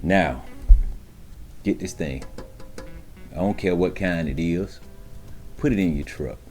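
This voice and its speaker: A man's voice: low-pitched, takes it slowly, darkish tone, faint music behind. Streetwise, emphatic man giving trucker advice. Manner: emphatic, streetwise, repeats rhetorical check-ins. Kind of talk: informal instructional monologue to camera.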